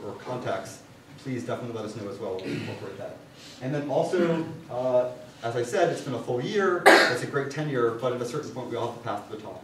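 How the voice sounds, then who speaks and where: A young man giving an informal student science presentation, with casual, wry asides. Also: medium pitch. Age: young adult. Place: university lecture hall. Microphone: far camera. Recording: distant room mic, very echoey, clear noise.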